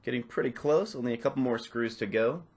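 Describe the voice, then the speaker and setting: A man narrating a step-by-step tutorial off the cuff, in a casual, instructional way with softened phrasing. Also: medium pitch, quick pace. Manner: casual, instructional, hedging with "kinda" and "a little bit". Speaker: repair tutorial presenter.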